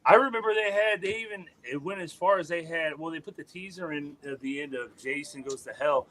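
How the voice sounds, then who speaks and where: Laid-back bright-toned guest musician. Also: male voice, fairly quick, rough recording. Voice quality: deep voice. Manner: laid-back, swears a lot, trails off with fillers. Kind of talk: casual interview chat.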